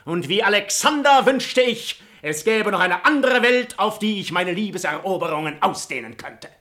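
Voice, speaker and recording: A man's voice: high voice, lively intonation, normal speed, bright, forceful tone. An actor playing an arrogant, seductive nobleman in a classical radio play, eloquent, theatrical and self-assured. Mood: exultant.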